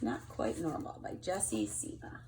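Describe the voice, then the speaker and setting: A woman reading a picture book aloud to children in an expressive storytelling style. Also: extremely low pitch, moderate pace, background noise. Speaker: storybook reader.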